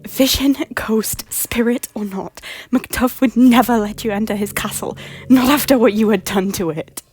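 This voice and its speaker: A guilt-ridden former queen, played by a woman in a fan audio drama, defiant but full of remorse. Her voice sits a little high and often drops to a whisper. Soft music runs faintly behind. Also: moderate pace, slightly rough. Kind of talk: amateur audio drama acting.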